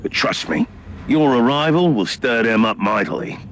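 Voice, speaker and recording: An actor playing a sly, smooth-talking inquisitor ally in a sci-fi video game, wry and confiding in manner. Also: male voice, medium pitch, expressive, normal speed, background noise, lightly acted. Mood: cautioning. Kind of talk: dramatic video game dialogue.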